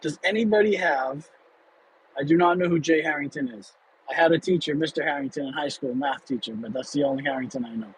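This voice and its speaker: A man in his late thirties hosts an off-the-cuff livestream from behind the wheel, answering viewers candidly and rambling through restarts. His voice sits a little high for a man. A faint hum of the car in traffic runs underneath. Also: fairly quick, poor audio. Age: 30s. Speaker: livestream host. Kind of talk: casual livestream chat.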